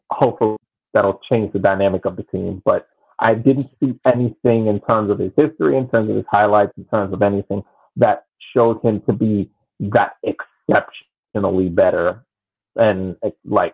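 Thin, phone-like audio carries a man's lowish voice. He speaks casually as a panelist discussing sports on a podcast. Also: vocal fry.

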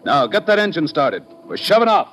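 A man playing a gruff, domineering skipper of a shady boat in a 1950s radio drama, curt and commanding. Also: a little high, big pitch swings, brisk. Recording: noisy vintage radio recording.

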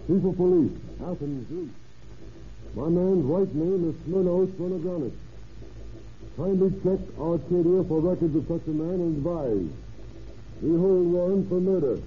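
An actor playing a determined, plain-spoken American detective chief in an old radio drama, brisk and businesslike. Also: male voice, a little high, close to an everyday voice. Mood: brisk, official. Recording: tinny, noisy old radio broadcast.

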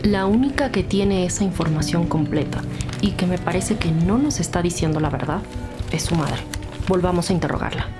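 An actress playing a firm, skeptical police detective with a probing, businesslike manner in a scripted audio drama. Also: female voice, lowish voice, normal speed, close to an everyday voice. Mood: skeptical, resolute. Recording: loud music behind the voice.

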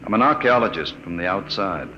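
An actor playing a gentle, earnest archaeologist from off-world in an old radio drama. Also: male voice, sits low, lively intonation, a little fast. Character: calm off-world male archaeologist. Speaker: archaeologist narrator. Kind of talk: 1950s radio drama acting. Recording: old radio broadcast with noise.

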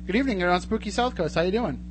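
High-voiced man hosting radio, noisy hum. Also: expressive, rapid-fire. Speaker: radio show host. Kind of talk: casual live radio hosting. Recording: clear background hum and noise.

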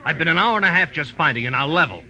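An American actor playing an impatient, sardonic police lieutenant pressing for answers in a 1940s radio drama, heard on an old broadcast recording. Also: male voice, on the high side, lively intonation, racing, pushed and bright, lo-fi sound. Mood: demanding.

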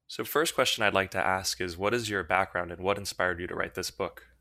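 A man's voice: deep voice, brisk, vocal fry, bright, forceful tone, no echo. A man hosting an academic book podcast, reading a formal introduction and then asking interview questions. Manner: formal, read-aloud delivery. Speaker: podcast host, assistant editor.

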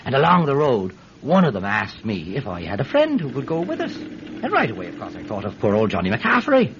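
An actor playing an eager Irish peat seller testifying in court in a classic radio drama, hesitant, stammering and forever digressing. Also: male voice, mid-range voice, huge pitch swings, a little fast, gravelly, fairly bright tone, heavily acted. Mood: flustered, eager. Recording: muffled, hissy vintage radio recording.

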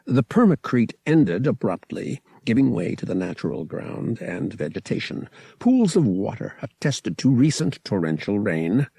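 A man reading a science fiction novel aloud as an audiobook narrator, with expressive storytelling. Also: lowish voice, moderate pace, creaky, breathy edge, dry recording. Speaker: audiobook reader.

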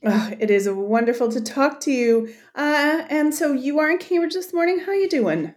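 A woman's voice: sits high, animated, moderate pace. A woman hosting an interview podcast, effusive and enthusiastic as she praises her guest's book. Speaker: podcast host.

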